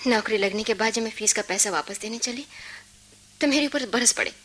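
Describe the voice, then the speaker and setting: A woman's voice: on the high side, a little fast, a bit bright, slight background noise, lightly acted. An actress playing an embittered, dutiful young working woman in a Hindi radio play, speaking with earnest, emotional delivery. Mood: bitter.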